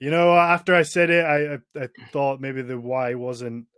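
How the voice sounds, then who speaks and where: A man's voice: medium pitch, normal speed, a bit bright, clear, resonant tone. A man with a British accent hosting a podcast in a casual, informal and self-deprecating way. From Britain. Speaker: podcast host.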